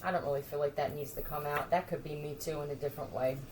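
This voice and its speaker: A woman's voice: low-pitched, fairly even intonation, quick pace, a little mellow, slight background noise, low-quality recording. A woman talking in a scattered, profane monologue, addressing unseen listeners directly. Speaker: video creator. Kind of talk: rambling monologue to camera.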